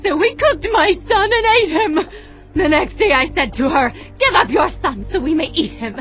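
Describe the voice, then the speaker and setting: A woman's voice: very high voice, lively intonation, normal speed. An actress playing a desperate, grieving, starving mother in a dramatised audio Bible, wailing and pleading. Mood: anguished. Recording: dull, muffled audio over music.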